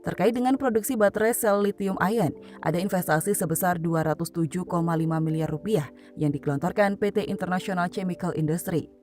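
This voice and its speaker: A woman's voice: on the low side, a little fast, a little mellow. A woman reads scripted news commentary in a formal manner. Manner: formal, read-out delivery. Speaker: narrator. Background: background music.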